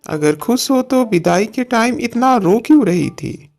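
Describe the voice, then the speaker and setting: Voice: mid-range voice, expressive, unhurried, a little mellow. An actor playing a kind, agreeable young husband in a cartoon moral story. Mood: teasing. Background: background music.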